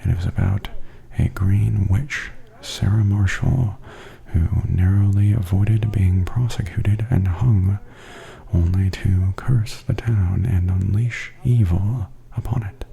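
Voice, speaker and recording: A man giving softly spoken ASMR tour commentary in a breathy near-whisper, gentle, unhurried and soothing. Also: low voice, fairly even intonation, low-quality recording. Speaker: host and tour guide.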